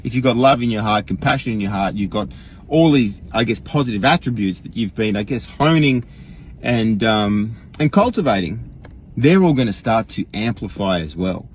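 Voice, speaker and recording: An Australian man imitating an earnest, rambling online guru in a folksy, emphatic livestream monologue, with a husky voice. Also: on the low side, expressive, moderate pace, slight background noise, natural voice. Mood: earnest, preachy. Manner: folksy, emphatic, rhetorical questions, frequent chuckles.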